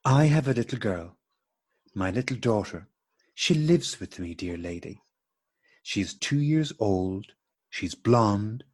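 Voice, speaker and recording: A low-voiced, formal gentleman acted by a man in an absurdist radio play. He is bemused and scrupulously polite, setting out each phrase slowly and repeating himself, with a husky edge to his voice. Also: animated, exaggerated voice. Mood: bemused, deliberate. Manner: formal, deliberate, repetitive politeness. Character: courteous, bemused married man piecing together a coincidence. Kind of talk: absurdist stage dialogue played as radio drama.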